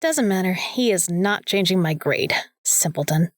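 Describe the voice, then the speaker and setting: A woman's voice: fairly low pitch, expressive, moderate pace, dry recording, studio quality, natural voice. A young woman acting in an audio drama as a bossy, sarcastic student who talks in an opinionated, emphatic way. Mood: haughty. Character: bossy, sarcastic young female student, vampire slayer's sidekick.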